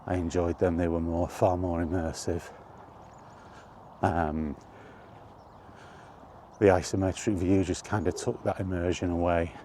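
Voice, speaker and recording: A middle-aged man chatting casually and reminiscing in a halting, thinking-aloud way, in short rambling phrases. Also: low-pitched, little pitch movement, dark tone, slight background noise. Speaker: video creator and host. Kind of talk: casual reminiscing monologue about retro games. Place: outdoors while walking.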